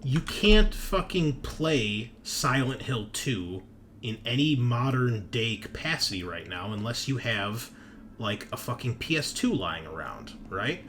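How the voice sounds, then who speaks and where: A man's voice: medium pitch, sing-song, a little slow, fairly bright tone, a little hiss or hum. A man hosting a tabletop roleplaying show, talking casually and bluntly with swearing and emphatic tags like "right?". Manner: ranting, emphatic, punctuating with "right?" and "okay?". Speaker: host and game master of a tabletop roleplaying show. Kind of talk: casual, profane opinionated chat.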